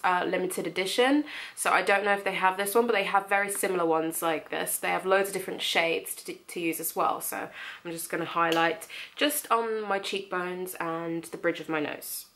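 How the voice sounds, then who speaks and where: A woman's voice: medium pitch, fairly bright tone. A young woman with a slight cold, chatting her way through a makeup tutorial with plenty of ums. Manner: chatty, friendly, lots of ums. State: has a cold, slightly nasal. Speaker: beauty vlogger.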